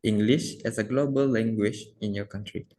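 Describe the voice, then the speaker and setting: A man's voice: lowish voice, moderate pace, mellow, slight background noise. A man interviewing a guest, giving brief acknowledgements and then reading out his questions.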